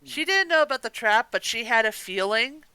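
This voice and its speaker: A woman's voice: fairly high pitch, expressive, moderate pace, pushed and bright, smooth, no room sound. A woman co-hosting a review podcast, explaining plot points in casual chat. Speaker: podcast co-host.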